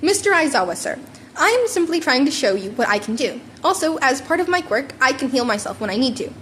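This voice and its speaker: A woman's voice: high voice, sing-song, fairly quick, a bit bright, slight background noise. A woman voicing a cheerful, reckless teenage superhero student, eager and bubbly, in a story reading.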